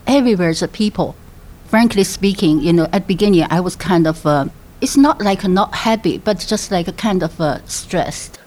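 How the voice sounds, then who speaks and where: A woman in her fifties from China, speaking English with a Chinese accent as a second language, telling her story in a halting way with many fillers. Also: fairly low pitch, a little mellow. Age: 50s. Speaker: interviewee, mother. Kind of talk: personal storytelling.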